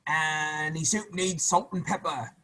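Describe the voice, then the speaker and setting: Picture a man putting on the voice of a band of hungry storybook soldiers, calling out loud and high above his own voice. They stretch their words slowly, coaxing and grand. He performs them in his read-aloud. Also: fairly even intonation, slight background noise. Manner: loud, raised, drawn-out calls. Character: hungry clever wandering soldiers, grown men.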